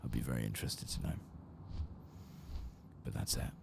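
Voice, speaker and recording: Very deep, gravelly soft-spoken man. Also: steady tone, moderate pace. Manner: hushed, sometimes slipping into whisper. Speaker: streamer hosting a game playthrough. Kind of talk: soft-spoken ASMR game commentary. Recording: clear background noise and game ambience.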